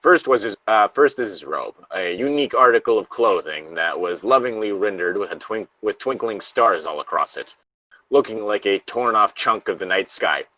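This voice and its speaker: A man's voice: lowish voice, animated, normal speed, sharp tone, no room sound, highs cut off. A man reading a horror story aloud as co-host, casually, with joking asides and the odd stumble. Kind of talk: casual co-reading of creepypasta with banter.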